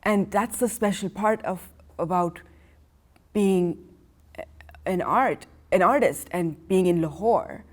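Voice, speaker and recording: A woman's voice: fairly low pitch, slow, pauses often. A woman artist who grew up in Iran and Pakistan and speaks Farsi as her first language, telling her story in a rambling way full of restarts. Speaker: artist, interview guest. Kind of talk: casual conversational storytelling. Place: art gallery.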